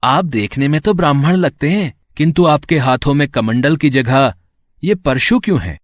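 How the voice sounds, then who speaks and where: A bold young elephant-headed god from a mythological storybook, played by a man. His speech is polite and formal, with very animated intonation. The recording is dry. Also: on the high side, normal speed, no echo, lightly acted. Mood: mocking. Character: young elephant-headed boy god, dutiful, boldly calm. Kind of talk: acted dialogue in a Hindi mythological story.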